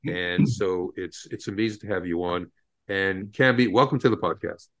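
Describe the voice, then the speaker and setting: A man's voice: low voice, normal speed, fairly bright tone, low-quality recording. A man hosting a podcast in a casual, enthusiastic way, often laughing and restarting his sentences. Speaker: podcast host.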